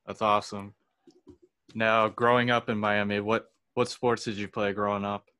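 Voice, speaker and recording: A young man hosts a laid-back, informal interview. His voice sits slightly low but has a bright, forceful edge. He phrases things casually, with plenty of slang. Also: level tone, normal speed, clear, resonant tone. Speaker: interviewer, host.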